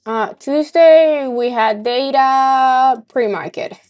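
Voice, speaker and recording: A woman with a Venezuelan accent chatting casually on a day-trading livestream, thinking aloud with fillers and pauses. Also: high voice, darkish tone, soft background music. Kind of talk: casual live-stream chat.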